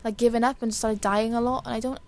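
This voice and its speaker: A woman with a dry, hoarse voice giving casual, chatty and rambling commentary over a video game. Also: fairly high pitch, little pitch movement, fast, darkish tone, a little hiss or hum. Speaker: gaming video creator.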